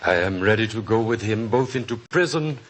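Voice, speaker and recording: A devoted biblical apostle in a religious radio drama. The voice is low and earnest, declaring loyalty with fervent insistence. There is light noise on the old recording. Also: male voice, lively intonation, brisk, fairly bright tone. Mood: fervent, resolute.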